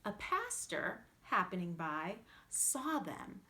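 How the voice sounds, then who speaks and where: A woman reading a fairytale aloud as a children's storyteller, with theatrical, drawn-out emphasis. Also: medium pitch, sing-song, pushed and bright. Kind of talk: scripted fairytale narration for children.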